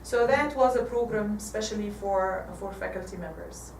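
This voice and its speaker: An Egyptian woman in her forties gives a church talk with slides, halting and restarting often. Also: mid-range voice, gravelly, noisy background. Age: 40s. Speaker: guest speaker. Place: church hall. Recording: echoey hall from far off.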